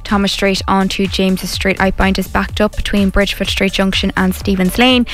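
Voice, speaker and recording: A woman traffic reporter reading a bulletin in a brisk, matter-of-fact way. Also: medium pitch, flat delivery.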